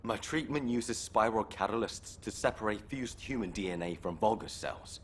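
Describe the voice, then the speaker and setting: A man's voice: on the low side, lively intonation, normal speed, a bit bright. A man playing an earnest, hopeful scientist in a video game, explaining technical matters persuasively. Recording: game audio with faint noise behind.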